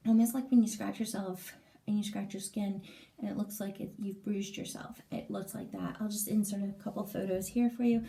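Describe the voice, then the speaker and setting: A woman with a raspy, strained throat, giving a hesitant, casual vlog update with many pauses and fillers, recorded indoors in a small bathroom. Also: mid-range voice, steady tone, warm tone. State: lingering cough, strained throat. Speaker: vlogger.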